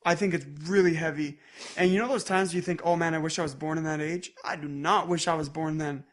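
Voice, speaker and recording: A man's voice: fairly high pitch, fairly even intonation, fairly quick. A young Canadian man co-hosting a casual podcast, easygoing and fond of joking asides. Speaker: podcast co-host.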